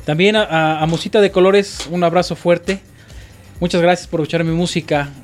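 A man, a musician guest, chatting casually on a radio show, halting with many fillers. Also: fairly high pitch, faint music behind. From Mexico. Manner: relaxed, halting with frequent fillers.

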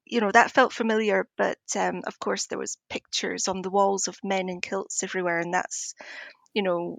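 A Scottish woman with an Edinburgh accent answers as an interview guest. She sits at a middling pitch and speaks in a measured, slightly hesitant, conversational way. The sound is close and dry, with hardly any room on it. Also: creaky, fairly bright tone, no echo. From Scotland, Edinburgh.

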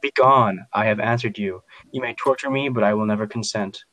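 Man acting a deep-voiced, defiant scientist. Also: a little fast, fairly bright tone, soft background music, lightly acted, low-quality recording. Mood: defiant, scornful. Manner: emphatic, formal. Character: defiant, resolute male scientist refusing his monstrous creation.

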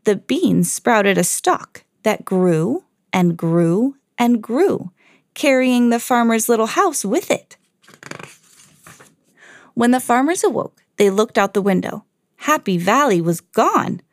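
A woman storyteller hosting a podcast, reading a children's fairy tale expressively with clear enunciation. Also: on the high side, lively intonation, takes it slowly, no echo.

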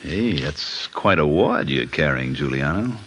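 Down-at-heel gambler detective, vintage radio drama; low, tense, brusque, slangy. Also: male voice, lively intonation, fairly bright tone, a little hiss or hum, exaggerated voice. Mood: businesslike, shifty. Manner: slangy, brusque, fast-talking hustler. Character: hard-up, gambling, shifty male private detective.

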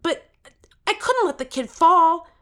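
A woman's voice: very high voice, expressive, measured pace. A woman playing an earnest, determined thirteen-year-old contestant who narrates their own story in an audio drama. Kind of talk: scripted first-person audio drama narration.

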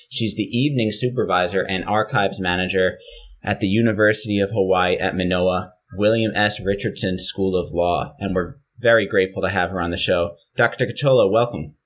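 A man hosting an interview podcast, introducing his guest in a measured, formal way. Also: low voice. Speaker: podcast host.

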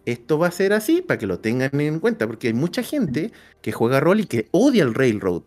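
Animated Chilean man in casual talk. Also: on the high side, brisk. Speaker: game master and storyteller on a panel.

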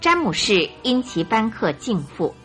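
A woman narrator giving scripted, expressive Mandarin storytelling for a radio drama. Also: a little high, moderate pace, faint music behind.

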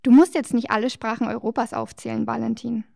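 A woman co-hosting a children's show, explaining things cheerfully and gently teasing her partner. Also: on the high side, steady tone, fast talker, gravelly, dry recording, clean studio sound. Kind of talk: scripted children's educational dialogue.

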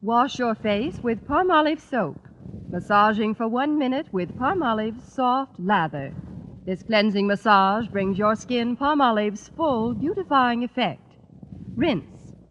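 Animated woman, muffled radio ad. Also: on the high side, measured pace. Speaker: commercial spokesperson. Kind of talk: 1940s-50s radio advertising read. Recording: dull, slightly noisy vintage radio recording.

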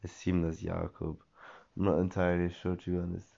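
A young man rambles on in a slangy, meandering monologue, full of fillers and false starts, his voice worn and hoarse. His tone sits deep and flat, with a dark, gravelly edge. The recording sounds dull and lo-fi. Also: fast talker. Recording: dull lo-fi audio.

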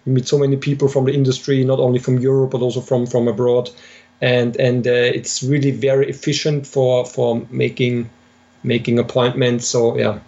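A man from Austria, a game developer, talking in a casual interview and pausing often with "uh" while telling stories. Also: mid-range voice, little pitch movement. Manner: hesitant, many "uh"s, warm storyteller. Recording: dull lo-fi audio.

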